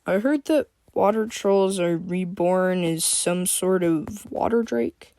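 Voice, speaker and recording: Storybook male fox demon, voiced by a woman, calm, weary, patient, dry humor, slow, lowish. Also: no echo. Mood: offering comfort.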